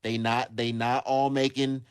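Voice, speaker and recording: A man's voice: medium pitch, level tone, measured pace, clear, resonant tone. A man hosting a casual call-in livestream, talking emphatically and repeating phrases to drive points home. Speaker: livestream host. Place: livestream on a social app.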